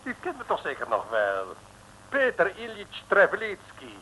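A man playing an effusive, excitable foreign official in an old radio comedy serial, speaking in a florid, gushing way. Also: high voice, normal speed, full character voice. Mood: conspiratorial. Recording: noisy old radio recording.

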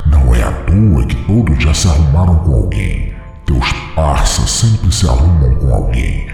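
A cruel inner demon, put on by a male narrator, taunts in a very deep, gravelly voice that swoops between sneers and mock sweetness. The voice is drenched in a long echoing reverb over music. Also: very animated, unhurried, dark tone, full character voice. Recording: echoey reverb effect with music.

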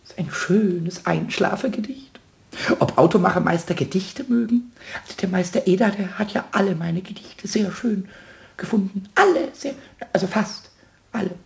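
An actor playing a small, childlike male kobold in a German radio play, cheeky, stubborn and excitable with a squeaky voice. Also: moderate pace, gravelly, lightly acted. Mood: hurt, defiant. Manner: excitable, self-repeating, sing-song when rhyming.

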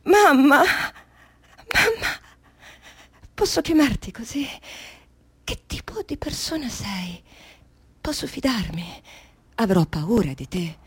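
Radio drama anguished newborn soul, commanding and pleading, raspy breathy delivery with wide swings between whisper and cry. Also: female voice, on the high side, very animated, takes it slowly, long pauses, dry recording, heavily acted. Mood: frightened, pleading. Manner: intense, fragmented, shifting between whispers and cries. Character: anguished unborn girl being born, commanding then pleading. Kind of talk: theatrical radio drama monologue.

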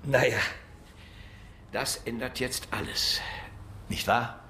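An actor playing an evasive, resigned professor under suspicion in a German radio crime drama, with a hesitant, halting delivery. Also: male voice, medium pitch, fairly even intonation, bright, forceful tone. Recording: roomy echo with faint noise.

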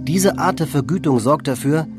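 A man delivering scripted, factual documentary narration in a measured manner. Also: on the high side. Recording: music behind the voice.

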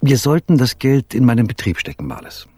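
An actor playing an uneasy, smitten accomplice with a very husky, breathy and anxious delivery in a radio crime drama. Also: male voice, medium pitch, big pitch swings, fairly quick, a little mellow, no echo.

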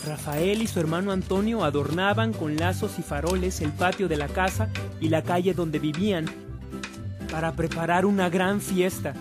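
A man with a Mexican accent narrating a saint's life in a scripted radio drama, in a measured storytelling manner. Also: sits high, music in the background, lightly acted.

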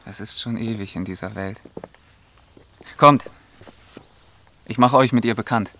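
An actor playing a polite young officer in a radio play, speaking formally. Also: male voice, fairly low pitch, a little slow, sharp tone. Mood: courteous. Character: courteous young male officer, smitten and polite. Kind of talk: radio drama acting.

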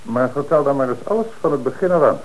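A man playing a calm, ironic police inspector. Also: medium pitch, slight background noise, natural voice, poor audio. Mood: accusing. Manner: measured, probing, ironic. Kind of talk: radio drama acting.

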